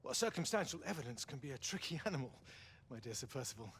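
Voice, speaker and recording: An actor playing a courtly, cerebral Victorian police commissioner in a video game, with a British accent and formal, musing phrasing. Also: male voice, mid-range voice, big pitch swings, fast talker, fairly bright tone, slight background noise. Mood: musing, deliberate. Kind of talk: video game cutscene acting.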